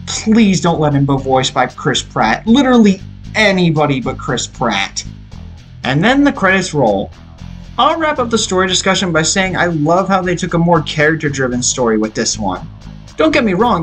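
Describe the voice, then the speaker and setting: Higher-pitched man narrating over prominent music. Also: sing-song, moderate pace, fairly bright tone. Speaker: video essayist reviewing a film. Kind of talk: scripted review narration. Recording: music playing behind the voice. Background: guitar rock music.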